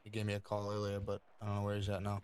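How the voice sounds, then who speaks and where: A man's voice: lowish voice, completely flat delivery, racing, a little mellow, clear, resonant tone, natural voice. A man playing an obliging, casual mafia crew member in an improvised livestream roleplay. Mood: businesslike.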